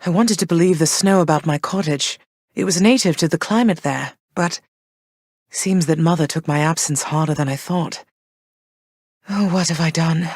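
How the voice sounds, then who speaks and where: A woman voicing a gentle, thoughtful goddess queen and mother for a video game, speaking in a soft, measured, slightly formal way. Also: low-pitched, husky, no room sound, clean studio sound. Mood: tender. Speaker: voice actress for a video game character. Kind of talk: recorded game voice lines.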